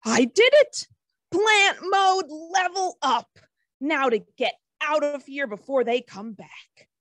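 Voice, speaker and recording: Woman as a high-pitched, timid little shrub creature. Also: lively intonation, slow pace, a bit bright, no echo, studio quality, exaggerated voice. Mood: triumphant. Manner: dramatic, exclamatory. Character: timid small talking shrub plucking up courage. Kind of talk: animated fantasy table read.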